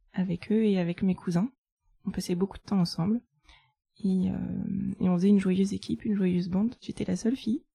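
A woman in her thirties from France, chatting about her path in a relaxed way. Her voice is very dark and mellow, and the recording is dry and close. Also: medium pitch, gravelly, slightly rough, dry recording. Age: 30s. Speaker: guest, chartered accountant. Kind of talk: casual interview.

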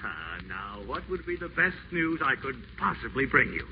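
An actor playing an eager, bumbling small-town doctor in a 1940s radio play. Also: male voice, medium pitch, sing-song, moderate pace. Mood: delighted. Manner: chuckling, fussy, eager. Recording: dull old radio broadcast, faint hiss.

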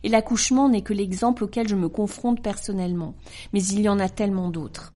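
A woman in her forties hosting a podcast, reading a letter aloud in a calm, measured, earnest way. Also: mid-range voice. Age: 40s. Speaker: podcast host.

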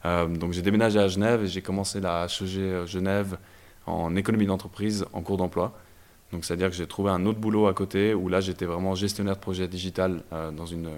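A young man from Belgium, a startup founder telling his story in a casual interview, relaxed and hesitant with many fillers. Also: deep voice. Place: startup incubator office.

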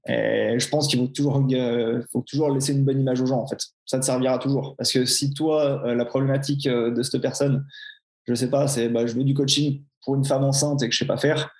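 Swiss French guy in his 40s, flat delivery, roomy echo; frank, self-mocking interview guest. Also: medium pitch, brisk. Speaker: personal trainer, podcast guest. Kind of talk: casual interview chat.

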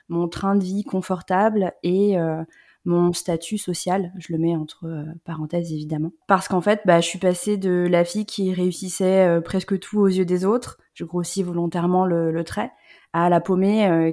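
A woman in her thirties from the Rhône-Alpes region, telling her personal story as an interview guest in a reflective way, often pausing with "euh". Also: lowish voice, fairly even intonation, dark tone. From Rhône-Alpes, France. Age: 30s. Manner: reflective, many hesitant "euh" fillers.

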